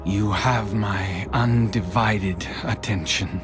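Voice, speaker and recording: An actor playing a weary, menacing fallen prince in a video game, with a deliberate, sardonic delivery. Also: male voice, on the low side, steady tone. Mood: cold, sardonic. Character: fallen prince villain, male, weary, menacing, searching for his sister. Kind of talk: dramatic game cutscene acting. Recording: music behind the voice.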